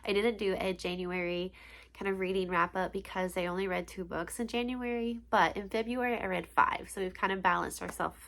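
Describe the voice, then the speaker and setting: A woman's voice: mid-range voice, fairly even intonation, fairly quick, gravelly, a bit bright. A young woman who is congested and sniffly, chatting casually and gushing about books she read, with many ums and likes. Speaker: book vlogger. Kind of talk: casual book review chat.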